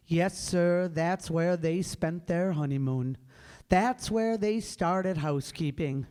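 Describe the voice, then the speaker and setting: An actor playing a patient senior angel in a radio play, narrating in a measured storytelling manner. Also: male voice, on the high side, darkish tone, smooth. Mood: wistful, recounting. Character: senior heavenly angel, patient storyteller briefing his underling. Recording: echoey hall, long reverb.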